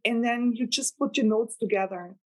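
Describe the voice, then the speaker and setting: A woman's voice: a little high, normal speed, darkish tone. Guest novelist: woman, Austrian accent, German native, earnest and rambling, talking casually. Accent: German-speaking, Austrian. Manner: earnest, rambling, laughs with delight. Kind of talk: casual interview chat.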